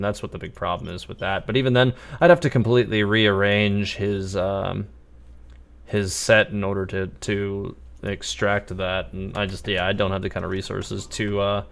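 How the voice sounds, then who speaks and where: Low-voiced man chatting through a game stream. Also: fairly bright tone. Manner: chatty, thinking aloud. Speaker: game livestreamer. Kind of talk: casual gameplay commentary. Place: indoors at a computer.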